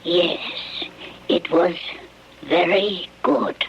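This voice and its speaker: An actor voicing a small, wary, menacing alien visitor in a radio drama, with stilted, formal phrasing and a husky, breathy voice. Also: mid-range voice, steady tone, a little hiss or hum. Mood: weak, wary. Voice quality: husky, breathy, often near a whisper. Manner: halting, stilted, formal phrasing without contractions. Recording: dull lo-fi old radio recording.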